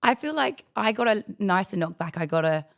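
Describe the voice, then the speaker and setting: A young woman with an Australian accent and a croaky voice, co-hosting a casual podcast with teasing, bawdy banter. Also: mid-range voice, lively intonation, a little fast. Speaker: podcast co-host. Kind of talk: casual chat.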